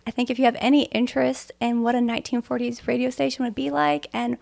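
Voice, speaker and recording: A woman's voice: on the high side, steady tone, quick pace, creaky, a little mellow. A woman chatting informally as a guest in an interview. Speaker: guest from a theatre production.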